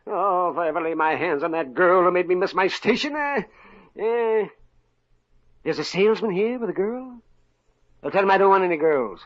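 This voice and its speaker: A grumpy sarcastic company boss. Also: male voice, high-pitched, lively intonation, normal speed. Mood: exasperated. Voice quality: sputtering, sarcastic. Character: grumpy middle-aged male company boss. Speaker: company owner. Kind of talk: 1950s radio comedy acting. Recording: muffled old radio broadcast.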